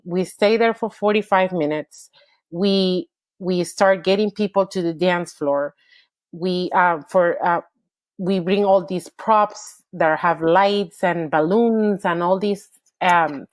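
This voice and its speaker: A woman's voice: on the low side, no echo. A woman from Peru with a Peruvian Spanish accent, talking upbeat about her entertainment business as a podcast guest, often restarting her sentences. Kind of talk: interview guest.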